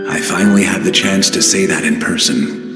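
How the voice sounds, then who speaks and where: A man's voice: deep voice, steady tone, normal speed, close to an everyday voice. A man voicing the ghost of a fallen scout in a video game, earnest and wistful. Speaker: voice actor in a game. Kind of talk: scripted game cutscene acting. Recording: cavernous reverb effect under loud music.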